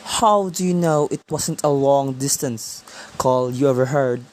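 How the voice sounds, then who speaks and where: A stolid police officer acted by a woman in a radio drama, with a low, mellow voice. The delivery is slow and patronizing, weary and dismissive of the caller. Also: a little hiss or hum. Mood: skeptical, patronizing. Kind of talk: radio play acting.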